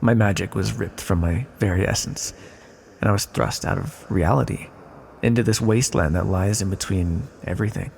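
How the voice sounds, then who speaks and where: A man acts out an ancient male villain for an ASMR roleplay, low and gravelly. He is a weary, exiled magical being who muses with slow, theatrical wryness. His voice hangs in a long, cavernous reverb. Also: a little mellow. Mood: grave, confessing. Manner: slow, musing, wry, theatrical.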